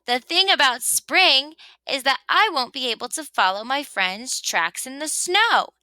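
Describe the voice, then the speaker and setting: A woman's voice: high voice, expressive, measured pace, pushed and bright, no room sound, pitched above the performer's own voice, heavily acted. A woman voicing a cranky, stubborn rabbit who complains emphatically in a children's storybook read-aloud. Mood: grumbling.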